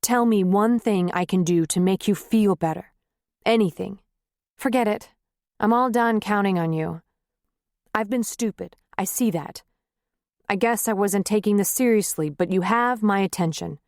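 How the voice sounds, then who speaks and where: A woman voicing a clumsy, pleading middle-aged husband who moves between gruff and coaxing. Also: mid-range voice, measured pace, darkish tone, no room sound, clean studio sound, natural voice. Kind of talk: audiobook character reading.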